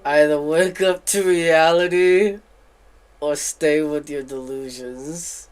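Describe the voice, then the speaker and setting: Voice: medium pitch, steady tone, slow pace. A game streamer reacting casually on a livestream. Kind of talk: casual livestream reaction and reading dialogue aloud. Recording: game music in the background.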